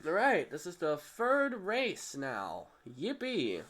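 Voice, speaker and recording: A young man from Germany with a stuffed nose, rambling excitably through casual gameplay commentary. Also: high voice, slow pace. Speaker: gaming video creator. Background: game music.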